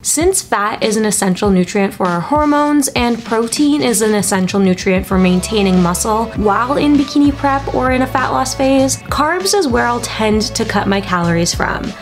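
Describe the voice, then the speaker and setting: A woman giving an upbeat, informative scripted voice-over as a fitness vlogger. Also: a little high. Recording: voice-over with clear background music.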